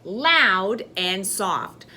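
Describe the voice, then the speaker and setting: Slow, lively-voiced woman teacher. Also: medium pitch. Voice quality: loud voice. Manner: slow, sing-song teacher talk addressing children. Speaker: first grade teacher. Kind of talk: recorded classroom lesson for children.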